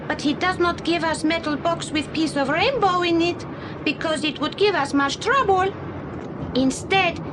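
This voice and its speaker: A woman playing an earnest young immigrant boy with a Spanish accent, telling a story in simple, halting, broken English for an audio drama. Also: very high voice, heavily acted. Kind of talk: British audio drama acting. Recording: noisy old audio play recording.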